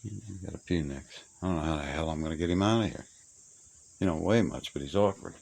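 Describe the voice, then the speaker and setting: A man's voice: low-pitched, a little fast, gravelly, darkish tone. A middle-aged or older man rambling casually in a folksy, plainspoken way, musing to himself as he shows his things around. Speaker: hobbyist showing his old radio gear. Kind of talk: casual rambling walk-through. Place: cluttered old room being cleared out. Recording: noisy amateur recording, clicks, insect chirps.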